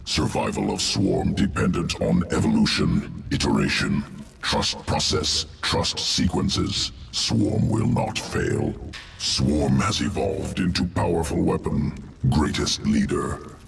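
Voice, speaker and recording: A man's voice: a bass voice, animated, slow pace, heavily acted. A man voicing a cold, guttural alien insectoid geneticist in a video game, speaking in clipped, detached, clinical fragments. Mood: solemn. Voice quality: guttural, gravelly. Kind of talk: video game character acting. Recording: roomy echo, distant, noisy game ambience.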